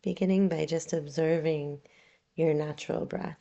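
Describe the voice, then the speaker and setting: A woman leading a guided relaxation meditation as a yoga nidra guide, calm, soothing and unhurried, with long pauses. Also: sits low, gravelly.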